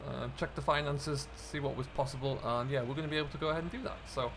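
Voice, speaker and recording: A man's voice: mid-range voice, steady tone, fast talker. A British man hosting a farming game role-play in casual commentary, chatting and thinking aloud as he works. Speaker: gaming video host playing a farm contractor. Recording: clear background vehicle and ambient noise.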